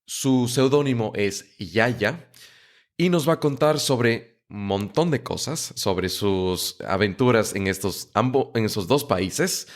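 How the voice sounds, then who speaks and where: A man's voice: fairly low pitch, lively intonation, slow pace. A man hosting a podcast and interviewing a guest, friendly and stumbling over words now and then. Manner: friendly, repeats words and restarts often. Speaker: podcast host.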